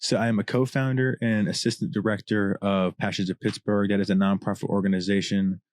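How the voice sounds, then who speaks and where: A man with a deep voice, a rapper talking as a podcast guest, passionate, swearing often and rambling in long answers. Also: level tone, a little fast, darkish tone. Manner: passionate, profane, long-winded. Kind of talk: casual candid chat.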